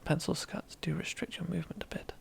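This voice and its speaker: A woman's voice: fairly low pitch, steady tone, fast talker, vocal fry. A woman playing a domineering, teasing female boss in an ASMR roleplay, half-whispering in a seductive, commanding way. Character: domineering, teasing female boss relishing power over old rival.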